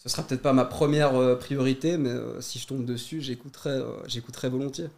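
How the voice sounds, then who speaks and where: Man, video-maker guest, firm debater, mid pitch, restarts often. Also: fairly even intonation, gravelly, a little mellow. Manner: argumentative, measured, stammers on restarts. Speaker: YouTuber guest.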